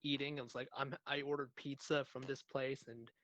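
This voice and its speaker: A man from Canada co-hosting a podcast, chatting casually in a measured, matter-of-fact way. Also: medium pitch, level tone, a bit bright, a little hiss or hum. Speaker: podcast co-host. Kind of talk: casual chat.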